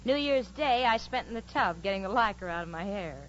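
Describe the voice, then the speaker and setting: A woman's voice: medium pitch, expressive, a little fast, natural voice. An actress playing a sensible, exasperated young woman telling wry stories in a 1940s radio comedy. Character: exasperated, sensible young woman narrating her roommate's follies. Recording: dull, noisy old radio recording.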